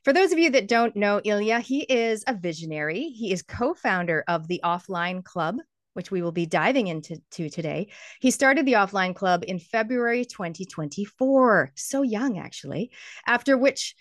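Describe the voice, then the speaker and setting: A woman's voice: medium pitch, animated, moderate pace, no echo, clean studio sound. A woman hosting a podcast interview in an upbeat, enthusiastic way, from New Zealand. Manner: bubbly and enthusiastic. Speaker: podcast host.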